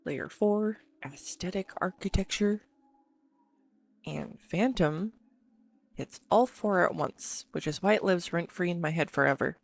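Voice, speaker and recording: Girlfriend roleplay, female performer as excitable, devoted geek partner; deep-ish female voice, enthusiastic, halting, intimate one-to-one address. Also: gravelly. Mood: excited. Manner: earnest, enthusiastic info-dumping with halting pauses. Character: excitable, affectionate neurodivergent girlfriend gushing over her favourite film. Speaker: amateur voice actor. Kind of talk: scripted roleplay audio monologue spoken to a listener.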